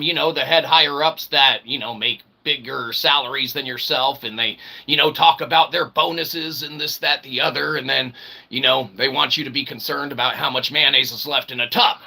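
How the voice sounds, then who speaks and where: A man's voice: on the high side, bright, forceful tone. A man hosting a rambling comedic monologue in a folksy showman's way, with theatrical asides and habitual check-in tags. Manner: folksy showman, theatrical asides, often says "okay" and "that's right". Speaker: host of a solo show. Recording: clearly noisy recording.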